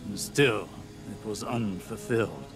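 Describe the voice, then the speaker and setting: A solemn, weighty male leader speaks through a hologram in a video game. He talks very slowly and deliberately, each phrase measured. Faint music plays under his voice. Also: fairly low pitch. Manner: slow, measured, solemn delivery.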